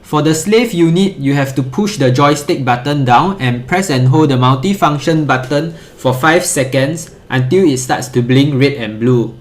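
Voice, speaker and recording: A man's voice: mid-range voice, moderate pace, few pauses. A man presenting an instructional tutorial in a methodical, step-by-step way.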